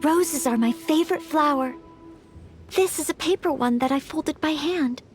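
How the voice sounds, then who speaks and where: A woman voicing an earnest, modest young maid who hopes to be a knight, polite and gentle, in a video game. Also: high-pitched, normal speed, lightly acted. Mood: grateful. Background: game music.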